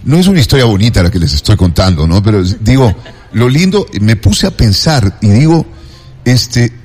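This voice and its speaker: Effusive, low-pitched Ecuadorian man hosting a show. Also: big pitch swings, a little mellow, faint music behind. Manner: effusive, digressive, halting with repetitions. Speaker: talk show host. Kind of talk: casual rambling monologue and interview chat.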